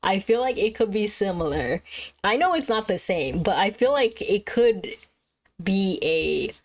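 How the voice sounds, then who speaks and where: A woman speaks in a casual, reactive livestream style at a middling pitch. She thinks out loud, breaking into exclamations and questions as she follows along with what she is watching. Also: lively intonation, a little slow. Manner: musing aloud with exclamations and questions. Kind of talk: casual live reaction chat.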